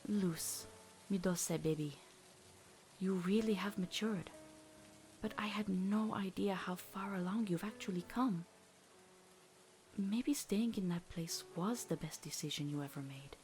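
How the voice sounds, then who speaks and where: A woman voicing a tender, proud mother in a cartoon comic dub, soft and reflective. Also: medium pitch, unhurried, pauses often, slight background noise, natural voice. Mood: wistful, proud. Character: tender, proud mother moved by her daughter. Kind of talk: emotional animated comic dub acting.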